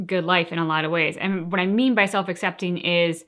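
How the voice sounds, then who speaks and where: A woman's voice: lowish voice, fairly even intonation, fairly quick, fairly bright tone. Earnest woman chatting reflectively. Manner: earnest, philosophical, thinking aloud. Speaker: co-host of a reaction show. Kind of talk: casual reflective chat.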